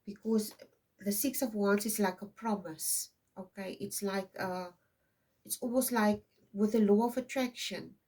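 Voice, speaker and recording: A woman's voice: medium pitch, steady tone, unhurried, a little mellow, recorded from a distance, low-quality recording. A South African woman in her fifties whose first language is Afrikaans, giving a casual tarot reading in a rambling way and often checking in with "okay?". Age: 50s. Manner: rambling, tags many lines with "okay?". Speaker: tarot reader. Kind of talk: casual intuitive card reading.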